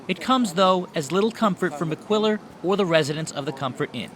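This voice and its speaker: Animated, higher-pitched male news reporter, American accent. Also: brisk, slight background noise. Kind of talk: scripted news narration.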